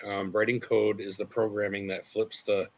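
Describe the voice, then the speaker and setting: A man's voice: lowish voice, flat delivery, normal speed, slight background noise, rough recording. A man in his forties hosting a casual livestream chat, rambling and correcting himself as he goes. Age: 40s. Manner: rambling, self-correcting, laughs often. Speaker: channel host.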